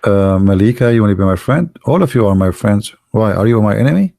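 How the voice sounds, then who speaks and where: Male streamer, Arabic native, mellow creaky voice, animated casual storyteller, non-native grammar. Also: fairly low pitch, a little fast, no echo, studio quality. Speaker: livestream host. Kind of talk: casual anecdotes and chat with live viewers.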